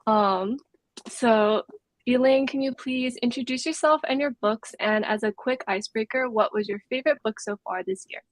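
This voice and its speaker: Female book club host, higher pitch, prepared interview delivery, very dry recording. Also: moderate pace, no room sound, poor audio. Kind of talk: scripted welcome and interview questions.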